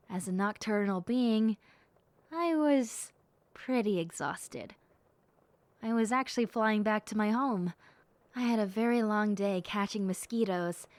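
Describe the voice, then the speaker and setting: A sweet young bat girl, acted by a woman, speaks in a highish voice at a very slow pace. She is earnest and cheerful, talking softly-heartedly and reflectively to one listener in an audio roleplay. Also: no room sound. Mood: rueful.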